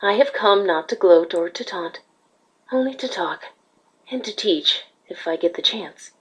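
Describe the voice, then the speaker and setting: A woman voicing a calm, regal alicorn pony ruler in a fan fiction drama, speaking in a measured, formal, patient way. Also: medium pitch, breathy edge, rough recording. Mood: calm, solemn.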